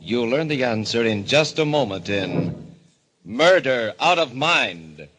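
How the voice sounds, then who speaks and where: A man narrating and announcing a 1940s radio mystery show in a theatrical, ominous, deliberate manner. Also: mid-range voice, animated, fairly bright tone, low-quality recording. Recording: vintage radio with music behind.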